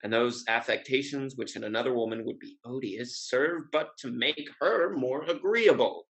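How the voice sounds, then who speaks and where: A man playing a witty, urbane gentleman playboy in a Restoration comedy, speaking wry, elaborate period phrases in an articulate way. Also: mid-range voice, moderate pace, gravelly. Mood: wry, fond. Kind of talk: Restoration comedy play reading.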